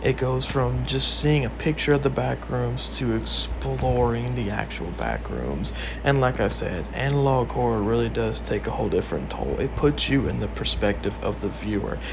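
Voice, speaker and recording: A man with a creaky voice giving earnest, emphatic video essay commentary on horror. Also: mid-range voice, background noise. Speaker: video creator and host.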